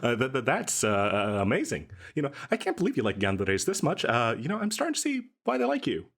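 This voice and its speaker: A man acts out an overeager salesman in a comedic audio roleplay, with a mid-pitched voice that swings up and down freely. He rambles and stammers through a chatty pitch, coy and cheerfully shady about what he is selling. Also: huge pitch swings, fairly quick, creaky, a bit bright, exaggerated voice. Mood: flustered, excited. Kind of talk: comedic audio roleplay, one side of a conversation.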